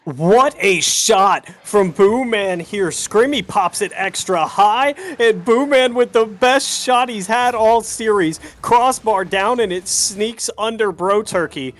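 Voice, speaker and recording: A man's voice: high voice, takes it slowly, hardly any pauses, a bit bright. A man working as an esports caster, giving live commentary on a video game match. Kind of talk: live Rocket League commentary.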